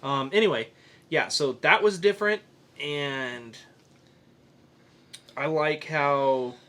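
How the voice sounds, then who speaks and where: Bright-voiced man chatting as a podcast host. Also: on the high side, slow pace. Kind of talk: casual film review chat.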